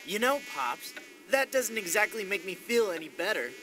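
A man's voice: high-pitched, big pitch swings, normal speed, background noise. A man playing a brash, complaining teenage boy training as a knight in a video game. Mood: grumbling. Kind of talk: scripted video game acting.

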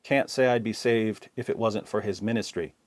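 A man reading viewer comments aloud in a plain, steady voice. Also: on the low side, moderate pace, no room sound.

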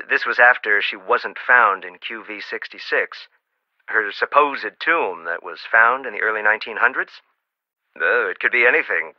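A wry, encouraging archaeologist, played by a man in a mystery game, with a lively rise and fall to his voice. The sound is dry and slightly dull, like lo-fi game audio. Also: mid-range voice, no echo, close to an everyday voice. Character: dry-witted male archaeologist, middle-aged, wry and encouraging. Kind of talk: video game voice acting.

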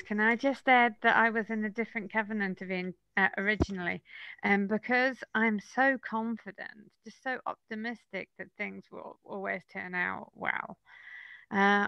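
Creaky-voiced English woman, slightly muffled. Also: medium pitch, no echo. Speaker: player in a tabletop roleplaying game. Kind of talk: casual game chat. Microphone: online group call.

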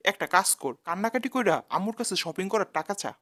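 A woman's voice: medium pitch, lively intonation, fast talker, fairly bright tone. A woman with a Bangladeshi accent voicing a scheming, mocking older brother in a cartoon comedy. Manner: mocking, colloquial.

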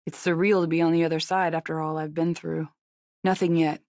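A woman's voice: low-pitched, little pitch movement, brisk, a little mellow, no echo, clean studio sound. An actress playing a tough, guarded young female agent in a horror video game, with a terse, sardonic manner. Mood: subdued, wry.

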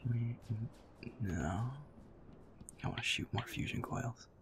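A man's voice: fairly low pitch, takes it slowly. A young man chatting casually as he plays a game, muttering, swearing and putting himself down. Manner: self-deprecating, muttering, often swearing. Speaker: gamer, audio creator. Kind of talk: casual chatter while playing a shooter game. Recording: noisy room with controller clicking. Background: game controller clicks.

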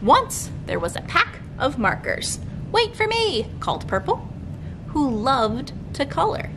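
Animated, high-pitched young woman reading to children. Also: a little slow. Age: young adult. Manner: expressive, sing-song storytelling for young children. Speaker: children's librarian, storytime host. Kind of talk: children's storytime read-aloud. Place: library room with bookshelves. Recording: clearly noisy room recording, low rumble.

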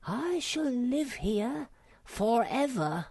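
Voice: medium pitch, big pitch swings, very slow, darkish tone, breathy edge, no room sound, clean studio sound, voice pushed up, exaggerated voice. A storybook narrator voicing a small, timid but self-important young male creature, earnest, plaintive and solemn. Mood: solemn, dreamy.

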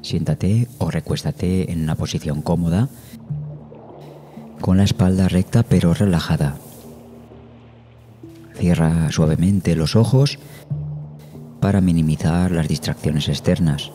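Very deep, dark-voiced man guiding meditation. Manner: slow, soothing, measured delivery with long pauses. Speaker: meditation guide. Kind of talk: guided meditation narration. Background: meditation music.

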